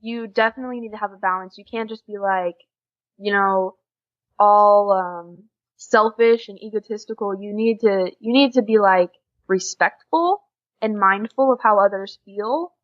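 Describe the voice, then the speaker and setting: A woman's voice: mid-range voice, normal speed. A young American woman talking casually in an interview, giving earnest, encouraging advice. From United States. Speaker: podcast guest, exchange student. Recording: dull lo-fi podcast recording.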